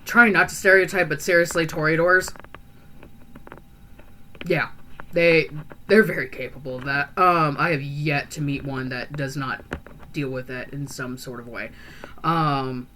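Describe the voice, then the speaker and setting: A woman's voice: low-pitched, fairly even intonation, unhurried, pauses often, bright, forceful tone, slight background noise, rough recording. A woman playing a chatty, earnest young female vampire who talks in a rambling, hesitant way full of fillers and restarts. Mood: musing. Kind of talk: in-character roleplay monologue.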